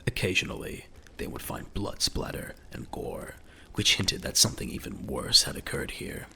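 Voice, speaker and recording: A man's voice: very deep voice, huge pitch swings, moderate pace, creaky, bright, forceful tone, in a whisper. A man narrating a scripted audio drama in a dramatic storytelling style.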